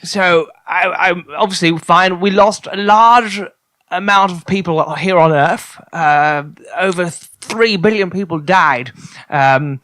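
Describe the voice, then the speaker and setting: A man acting a flustered, bright-voiced translator. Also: sits high, slow, no room sound, full character voice. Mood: earnest, explaining. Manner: hesitant, stammering, polite and rambling. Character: flustered, apologetic man, a translator of alien languages. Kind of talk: improvised comedy sketch.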